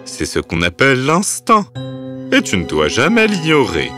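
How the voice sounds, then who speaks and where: A man playing a kind, wise uncle who gently instructs his young niece in an animated fairy tale. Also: mid-range voice, moderate pace, faint music behind. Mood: calm, encouraging.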